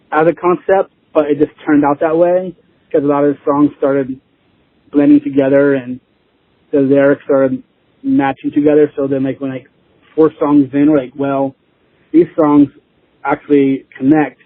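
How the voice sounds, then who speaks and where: A man's voice: a little high, dry recording. A man being interviewed as a band drummer, chatting casually in a rambling way with lots of "you know," over a lo-fi call. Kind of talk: casual chat. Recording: lo-fi phone-like line.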